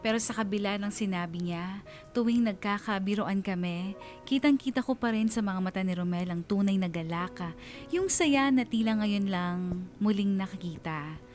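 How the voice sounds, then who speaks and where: A woman's voice: mid-range voice, moderate pace, a little mellow, faint music behind, natural voice. A woman playing a lovestruck young woman confiding her story in dramatic narration, with a Filipino accent. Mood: wistful, puzzled. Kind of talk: scripted dramatic first-person narration.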